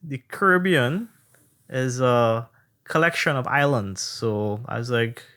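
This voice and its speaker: A man from Trinidad, co-hosting a casual chat and telling stories with animated delivery. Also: mid-range voice, slow pace. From Trinidad and Tobago. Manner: animated storytelling, acts out others' questions. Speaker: podcast co-host.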